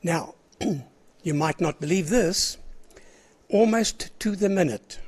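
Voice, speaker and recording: Older South African man running a ham radio net, husky cold-roughened voice, a bit high, rambling and clearing his throat. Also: takes it slowly, a little mellow. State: hoarse with a cold. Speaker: net controller of an amateur radio net. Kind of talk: casual on-air net chat.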